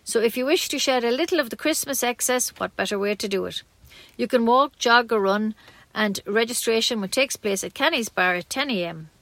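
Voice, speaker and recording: A woman reads a community radio news bulletin, her voice set a little high and recorded close and dry in a small studio. She keeps a measured, friendly pace and now and then trips over a word. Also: a bit bright, dry recording. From Ireland. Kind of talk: read local news bulletin.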